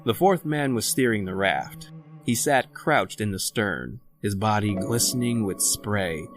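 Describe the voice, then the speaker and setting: An actor playing a worldly, self-possessed convict doctor and murderer, narrating a radio horror drama in an eloquent, formal, theatrical manner. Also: male voice, lowish voice, lively intonation, measured pace, soft background music. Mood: grave, ominous. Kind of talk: old-time radio horror drama acting, scripted narration.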